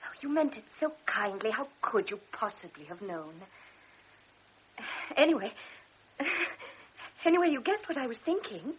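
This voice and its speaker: An actress playing a proud, highly strung young baroness who cannot walk, in a radio drama, with a breathy voice that swings from shy apology to sharp command. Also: female voice, big pitch swings, a little slow, pauses often, slight background noise. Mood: apologetic. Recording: dull old radio recording.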